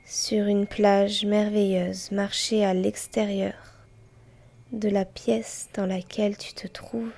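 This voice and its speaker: A woman guiding a yoga nidra meditation in a soft, breathy, near-whispering voice, gently and unhurriedly, with long pauses between short phrases. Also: mid-range voice, flat delivery. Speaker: guided meditation teacher and host. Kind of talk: guided yoga nidra meditation, slow and soothing. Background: soft music with chimes.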